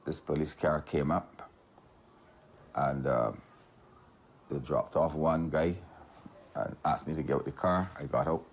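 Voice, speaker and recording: Very deep gravelly Barbadian man. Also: slight background noise. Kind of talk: personal storytelling interview.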